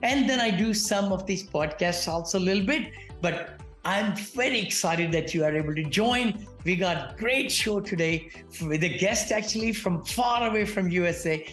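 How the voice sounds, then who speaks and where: A man hosts a podcast with a casual, chatty manner and plenty of fillers. His voice sits slightly high. The room is echoey, and faint music plays behind him. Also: moderate pace, hardly any pauses, fairly bright tone. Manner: chatty, many "you know" fillers. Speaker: podcast host. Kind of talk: casual interview hosting. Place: home in the Bay Area.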